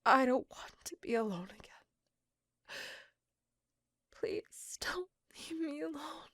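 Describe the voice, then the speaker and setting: A woman voicing an intense, possessive, centuries-old female vampire in a dramatic, breathy ASMR roleplay, often dropping into a whisper. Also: sits high, lively intonation, slow pace, fairly bright tone, no room sound, studio quality, heavily acted. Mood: desperate, pleading.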